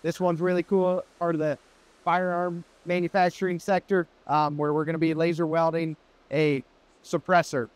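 A man's voice: a little high, level tone, moderate pace, no room sound. A man in his thirties presenting and interviewing in a casual, upbeat, conversational way as a video host. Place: industrial workshop, indoors. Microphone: clip-on wireless mic on shirt. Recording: clear background noise in workshop.

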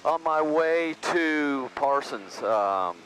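A man's voice: fairly high pitch, animated, very slow, poor audio. A young man flying a small plane, chatting casually through his headset mic and thinking aloud with frequent restarts. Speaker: vlog host and pilot. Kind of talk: casual narration while flying. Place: small open-sided plane cockpit in flight. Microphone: aviation headset mic. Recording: loud engine and wind noise.

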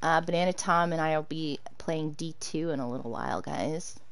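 A woman hosts a live game stream in a relaxed, talkative way and responds to viewers as their messages come in. Her voice sits fairly low, and she breaks into laughter often. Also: moderate pace, slight background noise. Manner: chatty, laughs often, answers chat. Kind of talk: casual live-stream chat while gaming.

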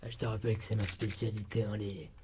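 A young man playing a cheeky, laid-back ex-con turned rookie barber in a comedy ASMR roleplay, soft-spoken and breathy, with slangy, hesitant talk. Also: lowish voice, level tone, fast talker, noisy background, close to an everyday voice. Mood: cheeky, salesy. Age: young adult. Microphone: close binaural microphone.

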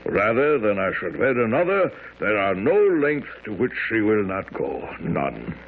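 A man's voice: fairly low pitch, very animated, measured pace, exaggerated voice. An actor playing a proud, anxious European king in a radio drama, speaking formally and emphatically. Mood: agitated. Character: proud, anxious young European king confessing youthful folly. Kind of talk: 1970s radio drama acting. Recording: muffled, tinny old radio broadcast.

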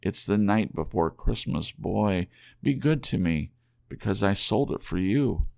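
Man playing a devoted young wife, storybook reading, pleading, anxious, raised pitch, gravelly edge. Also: animated, unhurried, darkish tone, no room sound, studio quality. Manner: earnest, imploring.

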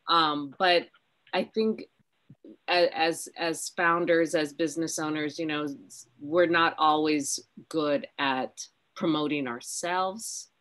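A middle-aged woman on a video call, giving relaxed, conversational advice. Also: deep voice, slow pace. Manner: relaxed, conversational, many fillers. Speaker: business owner, panelist. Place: home room, indoors.

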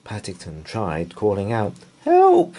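A man reading a bedtime story aloud in an expressive, deliberate way. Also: low voice, husky. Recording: faint background noise.

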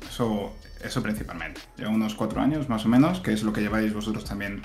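A man's voice: on the low side, fast. A man in his thirties from southern Spain, chatting casually as a guest, laughing lightly and restarting his sentences. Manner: relaxed, chuckling, many fillers and restarts. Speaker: guest software engineer and teacher. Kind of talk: casual interview chat. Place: room at home. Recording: echoey room, faint music.

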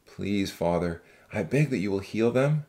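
A kind, devout man of the storybook kind, as a female narrator plays him, speaks in a low voice. He is calm and earnest, with a gentle, reassuring way of talking. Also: takes it slowly, heavily acted. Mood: earnest prayer. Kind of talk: audiobook character dialogue.

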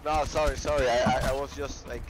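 A man's voice: medium pitch, steady tone, fast talker, a crowd in the background. A man talking casually on game voice chat.

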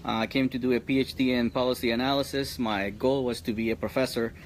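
A middle-aged man from Colombia, a high school teacher, chatting earnestly outdoors with frequent restarts. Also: fairly even intonation, fast talker, a little hiss or hum. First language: Spanish. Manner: earnest, talks in restarts and repeats. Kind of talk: casual interview chat. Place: outdoors under a tree.

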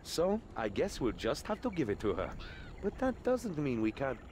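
An actor playing a cocky, sarcastic young adventurer in a video game, flippant and expressive. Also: male voice, mid-range voice, moderate pace, a little hiss or hum. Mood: resolved.

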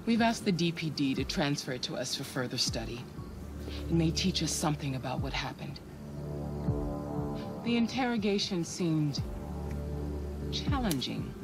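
An actress playing a poised, coolly appraising superior in a video game, speaking in a measured, formal way. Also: female voice, deep voice, very animated, over music. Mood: inquiring.

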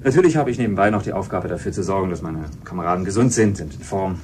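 A man's voice: racing, darkish tone, close to an everyday voice. A man playing an amiable expedition doctor in a radio drama, explaining things articulately. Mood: amiable, explanatory. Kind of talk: radio drama acting as interviewee. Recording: clear background noise with rumble.

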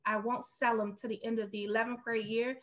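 Middle-aged woman, investor guest on a video call, fairly high voice, conversational. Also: flat delivery, a little fast, a bit bright. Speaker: guest real estate investor. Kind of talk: casual business interview. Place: room at home, indoors, on a video call.

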